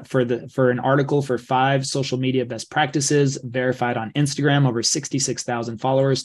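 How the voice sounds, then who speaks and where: A man's voice: mid-range voice, a little mellow. Casual man hosting a podcast. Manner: casual, many fillers, reads stats. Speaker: podcast host. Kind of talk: interview introduction. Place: home studio. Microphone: desk podcast mic.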